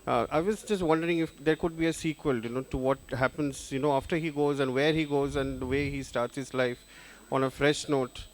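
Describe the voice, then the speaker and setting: A man's voice: medium pitch, fairly even intonation, brisk. A man from the audience asking the author questions at a panel, hesitant and full of fillers.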